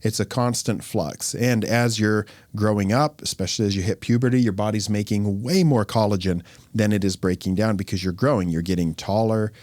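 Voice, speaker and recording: A man hosting a podcast as a dermatologist, explaining things in a relaxed, conversational way. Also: lowish voice, fairly quick, dry recording. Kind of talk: casual podcast chat.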